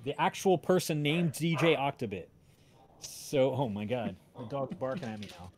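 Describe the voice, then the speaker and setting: A man's voice: mid-range voice, a crowd in the background. A man telling a story casually on a livestream, rambling and often interrupting himself. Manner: rambling, halting, self-interrupting. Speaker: podcast creator and voice-drama maker.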